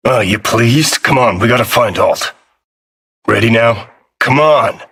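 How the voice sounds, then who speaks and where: A man voicing a cynical, abrasive rebel in a video game, with a gritty rasp and a sardonic, commanding way of talking. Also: medium pitch, a little slow, a bit bright. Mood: impatient, sardonic. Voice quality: raspy, gritty.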